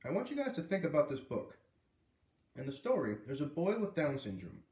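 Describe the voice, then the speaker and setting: A man playing a calm, earnest schoolteacher who explains things patiently to his class in a storybook. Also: on the high side, brisk, echoey room, far from the mic. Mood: earnest, serious.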